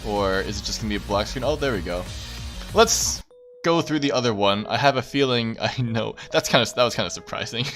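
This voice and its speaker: A man's voice: mid-range voice, expressive, a little fast, fairly bright tone, soft background music. A man streaming a game, reading aloud and giving casual commentary.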